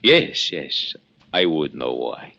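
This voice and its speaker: Forceful, suave villain. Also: male voice, rumbling low, big pitch swings, creaky, dull audio, full character voice. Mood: quietly menacing. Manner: suave, mock-courteous, deliberate. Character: suave, menacing Caribbean revolutionary villa host. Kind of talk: 1950s radio drama acting. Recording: dulled old radio, music behind.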